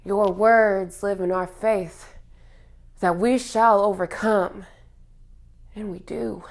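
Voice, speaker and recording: A woman performing a theatrical spoken-word monologue in a solemn, impassioned manner, with dramatic pauses. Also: high voice, fairly bright tone.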